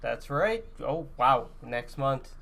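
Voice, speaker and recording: A man's voice: mid-range voice, lively intonation, slow, gravelly, a bit bright. A man co-hosting a podcast, chatting casually and informally about games and films. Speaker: podcast co-host. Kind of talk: casual chat about video games and movies.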